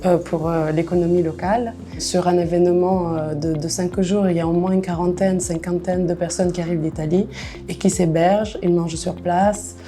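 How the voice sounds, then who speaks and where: A woman's voice: on the low side, level tone, normal speed, warm tone, soft background music. A woman from southern Italy with an Italian accent, explaining her events work in a promotional interview. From Naples, Italy. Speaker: trade fair and events manager.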